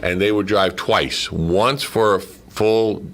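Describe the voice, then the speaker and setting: Male talk guest, very deep register, conversational storyteller with frequent asides. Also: fairly even intonation, slow pace, fairly bright tone. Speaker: guest, amateur radio play actor. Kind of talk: casual anecdotal studio chat.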